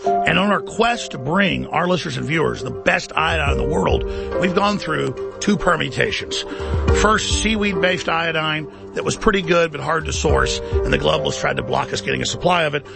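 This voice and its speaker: Gravelly man hard-selling over music. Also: very animated, moderate pace. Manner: intense, dramatic sales pitch. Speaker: ad announcer. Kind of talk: hard-sell product ad. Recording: ad read over loud music.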